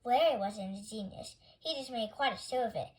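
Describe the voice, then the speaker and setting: A woman's voice: fairly high pitch, moderate pace, lightly acted. A woman reading a storybook as a motherly female goose who soothes and encourages. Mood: welcoming, reassuring.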